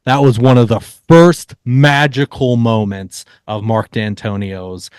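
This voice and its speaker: A man's voice: medium pitch, steady tone, slow, smooth, no room sound. Man casually telling stories, dry close sound. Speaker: podcast co-host. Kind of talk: casual sports storytelling.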